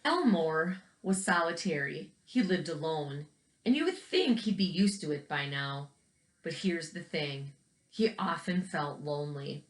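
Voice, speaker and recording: A woman with a fairly low voice reads a picture book aloud in an echoey room. She tells the story expressively and adds little asides for young listeners. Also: animated. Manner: pauses to comment on pictures as to young children. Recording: roomy, echoing indoor recording.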